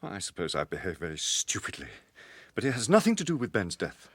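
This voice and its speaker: Anxious, protective British gentleman in radio detective drama; lowish, hesitant, very dry close sound. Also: huge pitch swings, fairly quick, slightly rough, no room sound, heavily acted. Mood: confessional, embarrassed. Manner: hesitant, faltering, apologetic.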